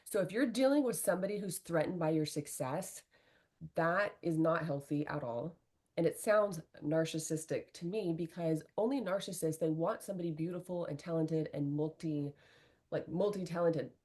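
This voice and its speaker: Middle-aged woman, low-pitched, relationship coach; earnest, candid interview talk. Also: normal speed. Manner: earnest, animated, fillers like "right?".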